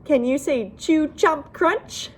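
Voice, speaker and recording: A woman reading a picture book aloud to young children in an expressive, deliberate, animated way. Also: high voice. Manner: animated, deliberate, addresses listeners with questions. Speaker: children's storybook reader.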